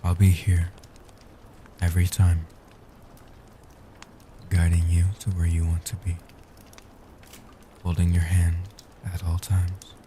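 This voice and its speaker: Very deep, dark, soft-spoken vampire guardian, acted by a man. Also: completely flat delivery, slight background noise. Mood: tender, reassuring. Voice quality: soft, hushed, dark low voice. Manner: slow, soothing, formal, solemn delivery. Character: gentle protective ancient male vampire guardian. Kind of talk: soft-spoken comfort audio roleplay.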